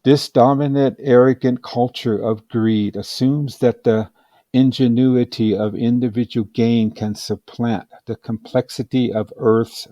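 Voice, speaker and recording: A man reading an advocacy audiobook as scripted narration, with measured, deliberate and solemn phrasing. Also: on the low side, mellow, no room sound. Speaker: audiobook reader.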